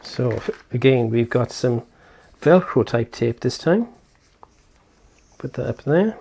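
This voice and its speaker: A man's voice: medium pitch, expressive, takes it slowly, gravelly, mellow, a little hiss or hum. A man giving a casual, unscripted product unboxing and setup walkthrough, thinking aloud as he goes. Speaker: video host.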